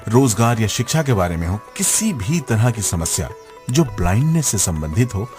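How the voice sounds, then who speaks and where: A man's voice: fairly low pitch, big pitch swings, normal speed, a little mellow. A man hosting a radio show, presenting from a script and addressing the listeners directly. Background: music.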